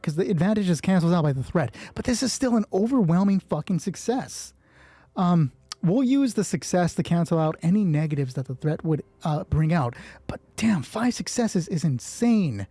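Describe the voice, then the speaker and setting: A game master running a solo tabletop roleplay, thinking aloud and narrating in a sweary, excitable way. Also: medium pitch, breathy edge, no echo.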